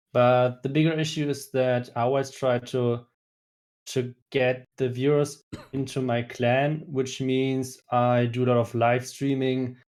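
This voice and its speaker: Flat-toned German-accented man. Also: medium pitch. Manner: measured, explains step by step. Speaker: guest player. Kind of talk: casual podcast chat.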